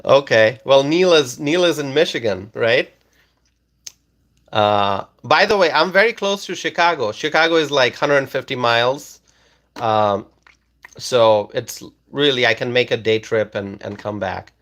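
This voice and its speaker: A man from Bangladesh hosting a casual livestream, reading viewer comments aloud in a slow, halting way with many ums and uhs. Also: medium pitch, fairly bright tone. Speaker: livestream host.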